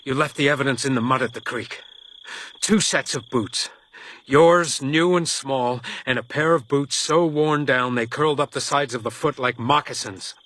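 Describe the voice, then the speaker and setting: A man's voice: mid-range voice, moderate pace, fairly bright tone, lightly acted. An actor playing a stoic, blunt male detective in a radio crime drama, with dry, understated delivery. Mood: grim, resigned.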